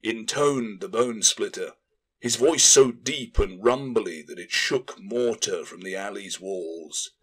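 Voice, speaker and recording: A man's voice: on the low side, slow, a bit bright, breathy edge. A man reading scripted fantasy fiction as an audiobook narrator, in a dramatic storytelling style.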